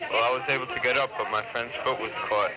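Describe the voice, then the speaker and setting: A man's voice: mid-range voice, fairly even intonation, fast, over a noisy crowd. A young American man, a student, giving a casual eyewitness account in an interview. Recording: very noisy old news field recording.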